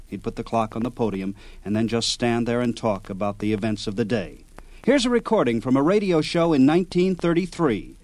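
Man narrating, medium-pitched. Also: darkish tone, no room sound. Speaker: radio history show host. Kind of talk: scripted narration.

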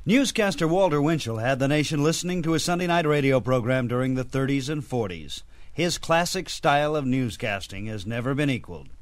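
A man's voice: medium pitch, expressive, brisk, slight background noise. A man hosting with scripted narration, introducing archive material in a presenter's style. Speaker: host and narrator.

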